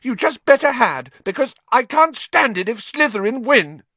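A man voicing a bossy, anxious teenage girl witch in a high, shrill, tearful voice. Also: very animated, normal speed, bright, forceful tone, no echo, full character voice. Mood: furious shrill. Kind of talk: audiobook character voice.

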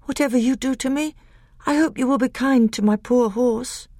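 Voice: high-pitched, moderate pace, a little mellow, no echo, clean studio sound, pitched above the performer's own voice. Reader doing a feeble, frightened young prince. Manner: feeble, polite.

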